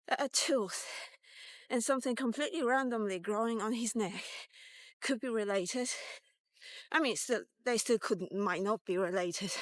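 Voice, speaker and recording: A woman in her fifties talking candidly and reflectively in a casual, halting vlog monologue, with some swearing. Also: on the high side, no room sound. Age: 50s. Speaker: vlogger.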